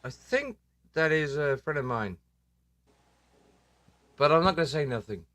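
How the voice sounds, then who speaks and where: A man chats on his live stream with a bright, forceful, slightly high voice. He jokes, teases, swears casually and puts himself down. The sound is close and dry. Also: expressive, measured pace, dry recording. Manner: joking, self-deprecating, swears casually. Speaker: livestream host. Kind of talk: casual livestream chat.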